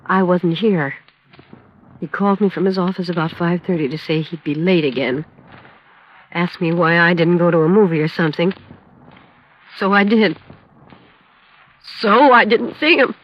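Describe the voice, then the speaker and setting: An actress playing a bewildered, grieving American widow in a 1950s radio drama, speaking in a halting, emotional way. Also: female voice, fairly low pitch, close to an everyday voice. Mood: subdued grief. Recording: dull, lo-fi old radio recording.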